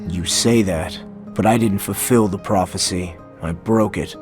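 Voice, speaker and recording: A man's voice: deep voice, unhurried, a little mellow. A man playing a world-weary, skeptical warrior hero in a video game, with a dry, casual, sardonic manner. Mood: weary regret. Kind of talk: understated video game cutscene acting. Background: game music.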